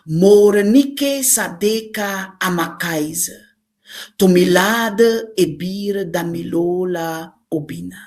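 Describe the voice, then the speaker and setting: A woman narrating a scripted dramatic folktale as a storyteller, speaking deliberately in short, emphatic phrases. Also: fairly low pitch.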